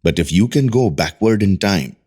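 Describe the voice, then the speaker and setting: A man's voice: low voice, expressive, normal speed, darkish tone, husky. A man playing a grave, secretive research doctor in an audio drama. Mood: grave, explaining.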